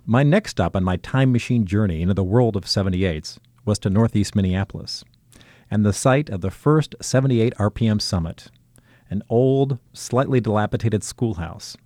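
A man's voice: fairly low pitch, normal speed, no echo, clean studio sound. A man narrating a scripted radio documentary as its reporter. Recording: faint music under narration. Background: soft music.

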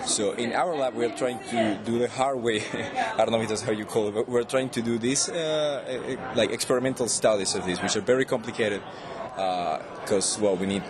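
A man's voice: medium pitch, moderate pace, crowd noise. A young man from Venezuela with a Venezuelan Spanish accent, a PhD student explaining his research in an interview, hesitant with many restarts and fillers.

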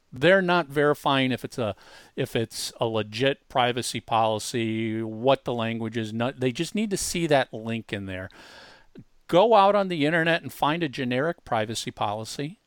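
Male tutorial host, mid-pitched and dry-sounding, informal, hammers key points directly at the listener. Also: lively intonation, normal speed, clean tone, no room sound. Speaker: co-host demonstrating an online tool. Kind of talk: casual software walkthrough.

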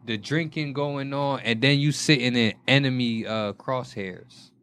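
A man's voice: medium pitch, unhurried, fairly bright tone, clean tone, no room sound. A man bantering casually as a podcast host, cutting in with repeated phrases and profanity.

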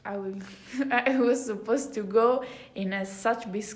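Slow-talking teenage Italian girl, roomy echo. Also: fairly high pitch. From Italy. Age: teenager, high school student. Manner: halting, searching for words in learned English. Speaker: podcast guest, exchange student. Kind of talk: casual interview chat.

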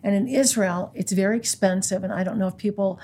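A woman's voice: mid-range voice, a little fast, warm tone, breathy edge. An American woman in her sixties, a guest who leads a pro-life group, talking earnestly in an interview and quoting scripture. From United States. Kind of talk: conversational interview.

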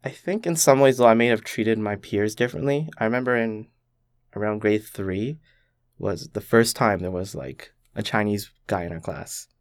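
A young man from Canada, thoughtfully telling his own story as a podcast guest, with many pauses and "like" fillers. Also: lowish voice, dry recording. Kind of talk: reflective personal storytelling.

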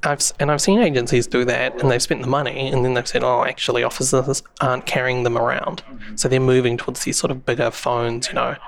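A man's voice: medium pitch, level tone, fast talker, creaky. A man with a New Zealand accent, answering interview questions in an explanatory way, with many fillers and restarts. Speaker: interview guest, public safety software expert.

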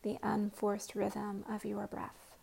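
Woman meditation guide, soft and often whispered, gravelly, flat, unhurried. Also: medium pitch.

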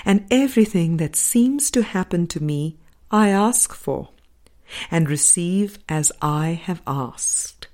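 A woman reading a spiritual book aloud as an audiobook narrator, in a measured, deliberate way with pauses between phrases. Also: fairly low pitch, expressive, a little mellow. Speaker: audiobook reader.

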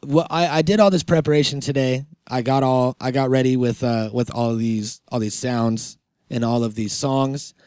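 A man co-hosts a podcast in a close, dry recording. He chats casually and loosely, full of slang and swearing. His pitch is middling and his delivery laid-back. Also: fairly even intonation, moderate pace, darkish tone, smooth, no echo. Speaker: podcast host. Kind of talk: casual banter between co-hosts.

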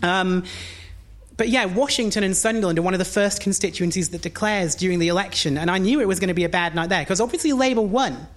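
A woman from North East England performing a spoken word poem on stage, then chatting to the audience between poems. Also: fairly low pitch. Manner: intense measured verse delivery, then chatty asides with fillers. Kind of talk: poetry performance and stand-up patter. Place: live stage before an audience.

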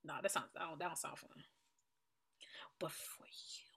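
A woman co-hosting a podcast, chatting casually about music in an animated, playful, colloquial way. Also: sits low, normal speed, slightly rough, in a whisper. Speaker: podcast co-host. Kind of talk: casual chat and music commentary.

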